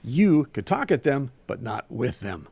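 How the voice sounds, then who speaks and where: A man's voice: medium pitch, big pitch swings, unhurried, creaky, a little mellow, slightly rough, no echo, exaggerated voice. Man playing a haughty, seething Southern lady. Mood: curt contempt. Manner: muttering, growling, haughty.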